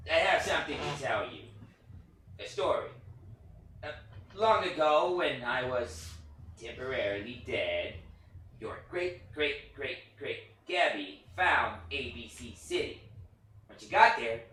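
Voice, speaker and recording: A man's voice: medium pitch, pushed and bright. Echoey, hesitant puppet hero played by a man. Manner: hesitant, stammering, earnest. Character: anxious, tender felt puppet hero with secret identity. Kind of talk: staged reading of a play. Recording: echoey room, distant mic, faint noise.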